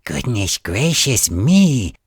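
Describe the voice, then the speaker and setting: A flustered ogre wife from a storybook, played by a man, fussing in a motherly way. The voice is breathy and raised, and unhurried in pace. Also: medium pitch, big pitch swings, no room sound, studio quality, pitched above the performer's own voice, full character voice. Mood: flustered, anxious. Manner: fretful exclamations, coaxing and motherly. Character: fussy, flustered female ogre, a giant's wife. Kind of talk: storybook character voice.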